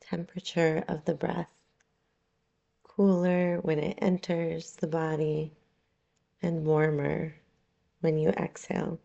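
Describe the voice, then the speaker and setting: A woman leading a guided relaxation meditation as a yoga nidra guide, calm, soothing and unhurried, with long pauses. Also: low voice, gravelly, highs cut off.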